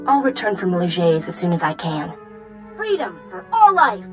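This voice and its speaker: An actress playing a righteous, fervent rebel leader who speaks earnestly and declaims like an activist in a video game. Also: female voice, on the low side, wildly swooping pitch, moderate pace. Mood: zealous. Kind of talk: video game character acting. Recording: music under the voice.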